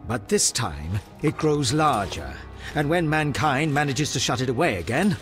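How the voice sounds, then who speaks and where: An actor playing a wry, weathered British army major of the Second World War in a video game cutscene, talking colloquially. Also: male voice, medium pitch.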